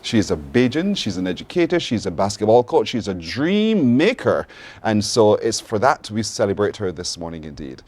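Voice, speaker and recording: A man's voice: fairly low pitch, big pitch swings, moderate pace, a little mellow. A man with a Barbadian accent hosting a live TV morning show, chatty and encouraging, with frequent affirmations. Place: TV studio.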